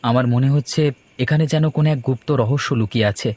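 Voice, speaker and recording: A man's voice: mid-range voice, fast, darkish tone, close to an everyday voice. A man playing a polite, uneasy, curious young nephew in a horror cartoon.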